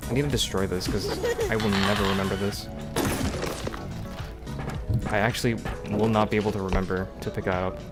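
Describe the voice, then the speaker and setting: A man's voice: fairly low pitch, steady tone, a little fast, pauses often. A man livestreaming a video game, muttering casual commentary to himself with exasperated, profane grumbling. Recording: loud music behind the voice. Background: loud music.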